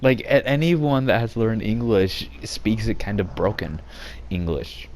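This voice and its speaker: A man's voice: fairly low pitch, expressive, a little slow, a little mellow. A man co-hosting a casual podcast, musing aloud in an easygoing way. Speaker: podcast co-host. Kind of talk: casual group chat. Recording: faint background noise.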